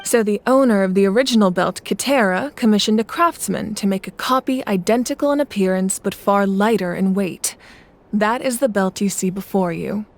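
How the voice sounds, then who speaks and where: Woman acting a composed, measured young leader. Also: medium pitch, slight background noise, close to an everyday voice. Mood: calm, informative. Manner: measured, earnest, explanatory. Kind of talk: English game dub acting, storytelling.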